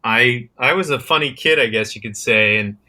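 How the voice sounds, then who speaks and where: A man's voice: medium pitch, moderate pace, bright, forceful tone. An American man answering interview questions as a guest, often repeating words and restarting while he finds his phrasing. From United States. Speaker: guest, criminology researcher and conservationist.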